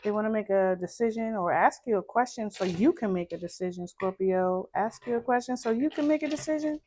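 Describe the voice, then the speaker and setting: A woman with a low voice, doing a casual tarot reading in a soft, musing way and repeating phrases as she thinks. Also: a little fast, slight background noise. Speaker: tarot card reader.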